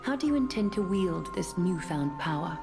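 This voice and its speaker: A woman's voice: on the low side, very animated, normal speed, gravelly. An actress playing a gracious, calculating female leader in a video game, with poised, formal delivery over music. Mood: gracious, solemn. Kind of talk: dramatic video game voice acting.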